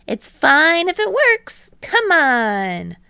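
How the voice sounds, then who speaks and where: An eager teenage boy athlete, played by a woman, pitched high and full of playful energy. He sounds bright and teasing, as a character in a story reading, recorded close and dry. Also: wildly swooping pitch, very slow, gravelly, no echo, exaggerated voice. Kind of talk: audiobook character voice in fan fiction reading.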